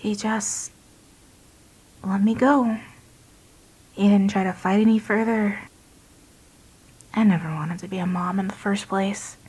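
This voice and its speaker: A woman's voice: mid-range voice, steady tone, unhurried, pauses often, darkish tone, husky. A woman acting in an audio drama as a bitter, anguished young wife who wants out of motherhood. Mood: tearful, resigned. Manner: bitter, emotional.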